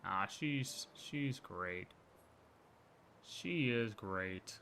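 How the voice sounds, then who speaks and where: A man's voice: on the low side, animated, drawn-out words, bright, forceful tone, slight background noise. A man giving casual live reaction commentary as a game streamer, joking and echoing lines aloud. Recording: game music behind voice.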